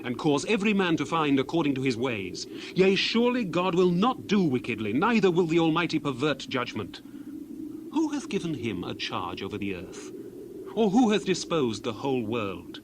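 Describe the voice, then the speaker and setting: Over noticeable outdoor ambience with birds and doves, an earnest biblical orator played by a man declaims scripture in a somewhat high male voice. He is solemn and indignant, pressing his rebukes with emphatic, rhetorical questioning in the style of a dramatized King James Bible. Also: noisy background, natural voice. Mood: solemn, insistent.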